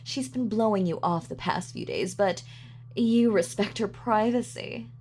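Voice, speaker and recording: A woman's voice: medium pitch, measured pace, darkish tone, slight background noise, lightly acted. A woman playing a gloating, sadistic supervillain in an audio roleplay, with a mock-sweet, sardonic, taunting manner. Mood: cold menace. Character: gloating female supervillain, sadistic, darkly playful. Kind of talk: villain monologue in audio roleplay.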